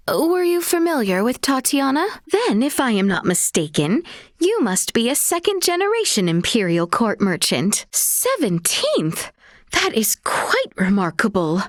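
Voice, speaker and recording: A high, expressively melodic young noblewoman from a fantasy game, gracious and earnest. She speaks slowly and formally, with courtly politeness and old-fashioned phrasing. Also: no room sound, clean studio sound. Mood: intrigued, honored. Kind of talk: video game voice acting.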